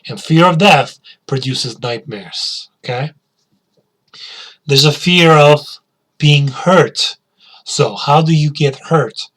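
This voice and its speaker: A man's voice: on the high side, takes it slowly, fairly bright tone. A male teacher giving a spoken English lesson, asking learners questions and repeating key words.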